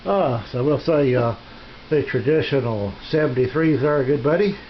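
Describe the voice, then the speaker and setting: A middle-aged or older man talks casually in a halting, folksy way, feeling out his sentences as he goes. He sounds like a hobbyist showing off his gear at home. The handheld camera audio is dull and lo-fi, with faint noise behind him. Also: mid-range voice. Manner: halting, hesitant, folksy delivery. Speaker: radio hobbyist presenting his equipment. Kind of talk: casual unscripted show-and-tell. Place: small home radio room. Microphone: handheld camera's built-in microphone.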